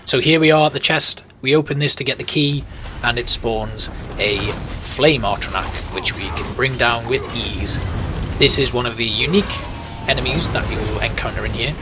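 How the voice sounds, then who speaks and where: A man's voice: mid-range voice, normal speed, over music. A man giving conversational, explanatory commentary as a guide through a video game. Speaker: gaming video host, guide. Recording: clear background game noise. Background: game ambience.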